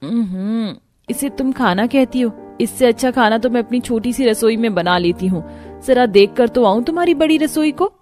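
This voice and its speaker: A woman's voice: on the high side, animated, normal speed, darkish tone, soft background music, close to an everyday voice. A woman playing a modest but proud, capable elder sister-in-law in a cartoon story, sounding self-assured. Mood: scornful.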